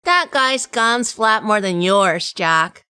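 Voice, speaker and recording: Woman acting a bright, feisty gangster's sweetheart. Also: mid-range voice, lively intonation, slow pace, smooth, no echo, clean studio sound. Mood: concerned, dry. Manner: sassy, blunt. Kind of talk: 1940s gangster radio drama acting.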